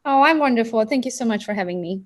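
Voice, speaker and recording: A woman in her thirties with a Romanian accent, telling personal stories in a casual, chatty, animated way as a podcast guest. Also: fairly high pitch, fast. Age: 30s. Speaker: podcast guest, real estate agent and coach.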